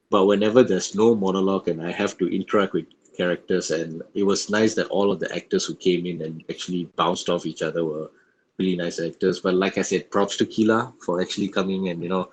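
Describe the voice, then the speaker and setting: A man, a voice actor chatting casually about his work in a roundtable. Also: low-pitched. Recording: clearly noisy background.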